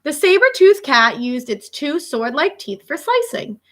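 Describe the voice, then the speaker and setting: Animated, high-voiced woman reading to children. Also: unhurried. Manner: expressive, emphatic, addressing children directly with questions and reactions. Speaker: elementary school teacher reading aloud to children. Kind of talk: children's read-aloud lesson with asides to the listeners.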